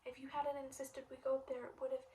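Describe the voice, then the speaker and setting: A woman's voice: high-pitched, monotone, quick pace, mellow, recorded from a distance, slight background noise, lightly acted. A woman reading the lines of a panicked teenage boy who pleads urgently and insistently.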